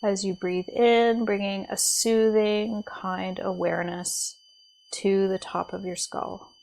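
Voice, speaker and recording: A woman leading a guided body scan meditation as a teacher, speaking in a gentle, soothing, unhurried way with pauses. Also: mid-range voice, fairly even intonation, vocal fry, a little hiss or hum, low-quality recording.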